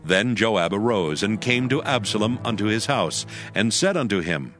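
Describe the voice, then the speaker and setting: A male narrator reading scripture in a measured, solemn manner, his voice on the low side. Soft music runs faintly underneath. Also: lively intonation.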